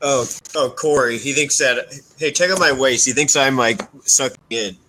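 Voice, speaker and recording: A man's voice: mid-range voice, moderate pace, bright, forceful tone, slight background noise. A man joining a casual live chat as a guest, laid-back and slangy.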